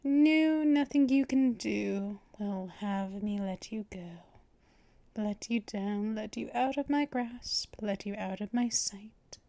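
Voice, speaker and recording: A woman's voice: medium pitch, no echo. A woman playing a whispering, possessive vampire mistress. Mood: possessive, teasing. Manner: slow, breathy half-whispers, soft laughs, teasing and possessive. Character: possessive, teasing female vampire mistress, adult. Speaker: ASMR roleplay performer. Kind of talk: unscripted ASMR roleplay. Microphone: close microphone for headphone listening.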